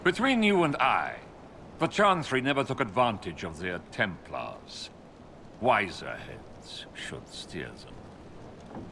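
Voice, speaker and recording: An actor playing a pompous, condescending noble envoy in a video game, speaking theatrically. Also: male voice, on the low side, big pitch swings, takes it slowly, gravelly, sharp tone, slight background noise, exaggerated voice. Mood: gossipy, sly. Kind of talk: video game dialogue acting.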